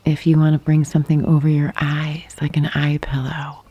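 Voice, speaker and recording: A woman guiding a yoga nidra meditation in a soft, breathy half-whisper, gently and calmly, with long pauses. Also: low-pitched, muffled recording. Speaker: meditation guide. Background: birdsong and nature sounds.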